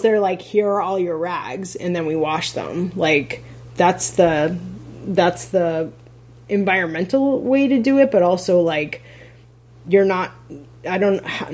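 A chatty woman on a casual podcast, her voice a little husky. She talks loosely, piling on fillers and laughing lightly as she explains. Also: medium pitch. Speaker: podcast co-host, rental host.

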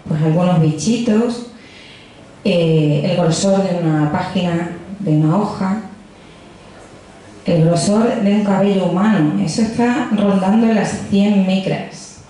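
A woman's voice: fairly low pitch, unhurried, dark tone. A young woman from Extremadura with a Badajoz accent, a physicist giving a chatty popular science talk into a handheld mic in a pub. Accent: Badajoz Spanish. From Extremadura, Spain. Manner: chatty, jokey, addresses audience directly. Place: crowded pub, indoors. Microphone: handheld microphone. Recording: echoey pub room with faint noise.

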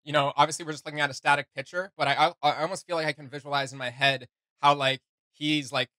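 A young man chatting casually as a reaction video host, excitable and rambling in his delivery. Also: a little high, fast, no echo, studio quality. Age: young adult. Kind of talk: casual chat, reacting to game voice lines. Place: small room at home, indoors.

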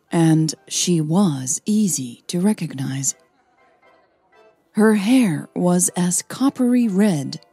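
A woman narrates a scripted audio story. Her voice is slightly low and dark, with a husky grain. She reads as a storyteller. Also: no echo.

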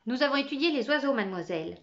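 A woman's voice: high voice, expressive, racing, a bit bright, highs cut off, voice pushed up. A woman reading a children's storybook, voicing young pupils who answer their teacher in a polite, childlike way. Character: schoolchildren, boys and girls, hesitant or excitable pupils.

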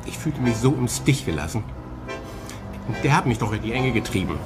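An actor playing an aggrieved, self-justifying culprit in a German radio crime drama. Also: male voice, medium pitch, expressive, brisk, natural voice. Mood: bitter, defensive. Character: aggrieved, self-justifying man confessing against his brother. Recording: music under the voice. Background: music.

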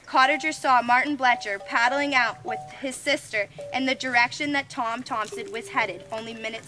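A shaken witness recalls finding a drowned man, as a young woman reads the part aloud. The reading is earnest and read straight from the page, with stumbles along the way. The voice is high and bright. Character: 1917 witness who found the drowned body. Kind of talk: reading a scripted ghost-story monologue.

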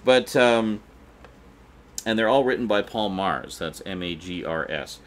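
A man's voice: lowish voice, lively intonation, a little slow. A middle-aged man, chatting informally and unscripted as he hosts a review vlog. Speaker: video host reviewing collectibles. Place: small indoor room with shelves. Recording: clearly noisy room recording.

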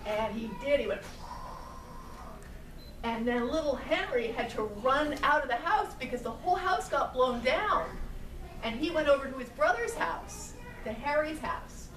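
A middle-aged woman telling a children's story with puppets, slowly and gently, asking the child along the way. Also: high voice, animated. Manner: slow, gentle, asks the child questions. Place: indoor room with puppet stage. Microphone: far camera. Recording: echoey room, far camera, noisy.

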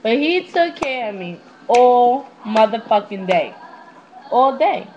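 A woman's voice: fairly high pitch, very animated, takes it slowly, background noise. A woman in her twenties telling personal stories casually to her webcam, candid, slangy and freely swearing, recorded on a small netbook mic. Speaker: vlogger. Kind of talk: casual confessional storytelling to webcam. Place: small indoor room at home. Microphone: netbook's built-in webcam mic. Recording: dull lo-fi webcam audio.

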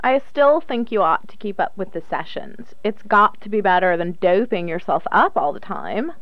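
A woman's voice: medium pitch, expressive, normal speed, no room sound, lo-fi sound, close to an everyday voice. An actress playing a blunt, nagging but concerned woman at home in a radio drama. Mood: chiding, concerned.

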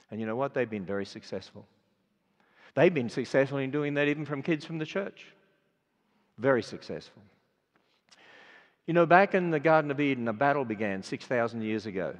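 An older man with an Australian accent preaching a sermon, stressing words and swinging between loud and quiet. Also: fairly high pitch. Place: large auditorium. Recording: dull sound with long hall reverb.

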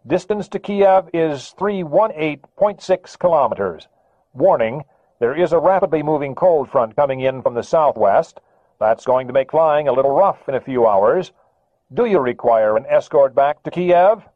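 A smug American radar controller, as a male narrator puts him on, talks down to a rival pilot in falsely courteous, unhurried tones. His voice comes through a narrow, tinny radio channel, dry and close. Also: on the high side, no echo, voice pushed up. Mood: mock polite. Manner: calm, falsely polite, needling.

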